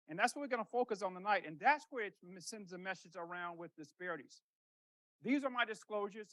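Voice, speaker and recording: Man, middle-aged, rheumatologist lecturing, fast-talking, high voice, storytelling, dry sound. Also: bright, forceful tone, dry recording, poor audio. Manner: storytelling, conversational, asks rhetorical questions. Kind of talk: lecture to a professional audience. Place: lecture room.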